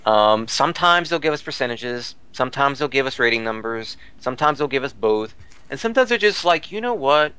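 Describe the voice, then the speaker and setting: A man's voice: medium pitch, expressive, a little fast, bright, forceful tone, clear, resonant tone. A man is talking in a casual podcast discussion. He explains things thoroughly and reports details as he goes. He often digresses to add context before returning to his point. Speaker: podcast panelist.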